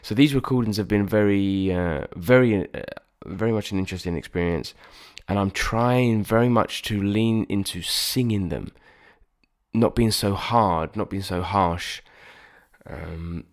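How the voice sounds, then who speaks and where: Male singer-songwriter, deep nasal voice, rambling and self-critical vlog chatter. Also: measured pace. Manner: rambling, self-deprecating, frequent restarts. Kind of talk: reflective casual vlog talk.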